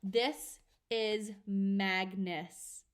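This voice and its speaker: A woman voicing the ghost of a dead father who speaks through writing chalk, slowly and ominously, in a storybook reading. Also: medium pitch, fairly even intonation. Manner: slow, measured, ominous.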